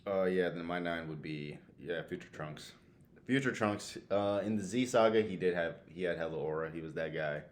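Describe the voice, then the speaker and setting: A man's voice: deep voice, moderate pace. A man talking casually and emphatically as a podcast co-host, using plenty of slang and some swearing. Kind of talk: casual chat ranking favourites.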